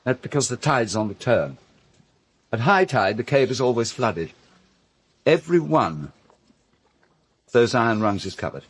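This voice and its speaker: An actor playing a grave man recounting a grim wartime story in a radio drama, with measured, deliberate phrasing and dramatic pauses. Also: medium pitch, sing-song, husky, no room sound.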